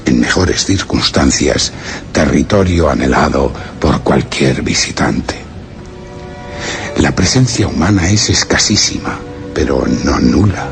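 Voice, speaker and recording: Solemn, anguished explorer, extremely low voice, measured literary narration for a horror radio play, music underneath. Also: male voice, vocal fry, exaggerated voice. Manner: grave, measured, literary delivery. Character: solemn, anguished male explorer recounting grim discoveries. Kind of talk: dramatic radio play narration, first-person horror tale.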